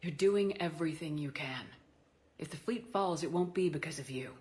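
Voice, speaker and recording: A woman plays a starship commander in a video game. Her voice is low and steady. She comes across as a decisive, reassuring soldier. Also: normal speed, natural voice. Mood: calm, reassuring.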